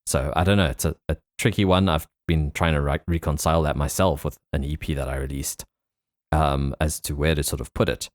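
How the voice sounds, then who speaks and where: A man hosting a podcast, talking in a casual, reflective, informal way with a husky voice. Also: a bass voice, fairly even intonation, a little fast, no room sound, clean studio sound. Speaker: podcast host. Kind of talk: casual conversational interview.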